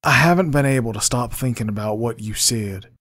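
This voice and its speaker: A man playing an earnest, remorseful young man with a gentle, sincere manner in an amateur audio drama. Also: mid-range voice, brisk, close to an everyday voice. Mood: apologetic. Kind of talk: fan audio drama dialogue.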